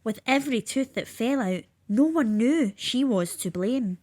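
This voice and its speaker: A woman's voice: a little high, lively intonation, unhurried, warm tone, no room sound. A woman with a lilting, sing-song delivery, reading a rhyming children's story aloud.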